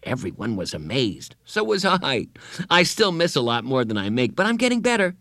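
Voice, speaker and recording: A man voicing an anxious, excitable schoolboy. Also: moderate pace, dry recording, clean studio sound. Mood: eager. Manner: high, eager, tearful and whiny. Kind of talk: audiobook character voice.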